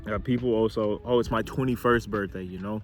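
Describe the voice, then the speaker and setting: A young man in his early twenties talks through his thoughts in a low, unhurried voice, trailing off and restarting as he searches for words. He is recorded outdoors on a camera mic, with faint music playing underneath. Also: steady tone. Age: 20s. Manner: rambling, hesitant, thinking aloud. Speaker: vlogger. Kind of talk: casual reflective vlog. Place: outdoors near a car with a city view.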